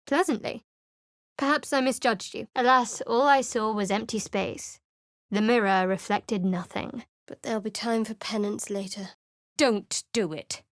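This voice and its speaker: A woman's voice: fairly high pitch, no echo, close to an everyday voice. A woman voicing a guarded, sardonic young female cleric in a video game, with a dry, wry and secretive way of speaking. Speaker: game character voice.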